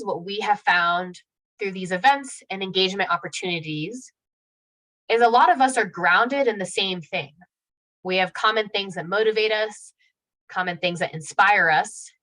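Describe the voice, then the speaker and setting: A woman's voice: mid-range voice, fairly bright tone, rough recording. A woman speaking as host of an online event, giving prepared remarks to the audience.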